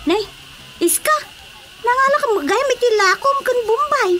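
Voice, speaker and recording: A woman's voice: very high-pitched, lively intonation, normal speed, over loud music. A woman playing an easily amazed, exclaiming market shopkeeper in an Ilocano radio drama comedy. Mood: amused surprise.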